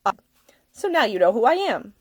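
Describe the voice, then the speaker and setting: A woman's voice: a little high, big pitch swings, moderate pace, darkish tone, slightly rough, no room sound, studio quality, voice pushed up. A woman voicing an outspoken, slightly wounded young Victorian lady in a storybook reading. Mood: teasing. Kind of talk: acted audiobook dialogue.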